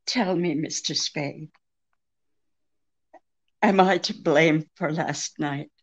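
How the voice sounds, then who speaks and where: An actress playing a secretive, seemingly helpless femme fatale client in an old-time radio mystery, halting and pleading with a throb in her voice. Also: sits low, heavily acted. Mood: remorseful. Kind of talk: old-time radio detective drama re-creation.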